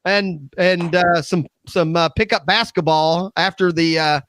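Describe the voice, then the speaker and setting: An American man in his fifties chatting casually at a round-table talk show, with an animated, opinionated manner. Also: on the high side, unhurried. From Midwest United States. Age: 50s.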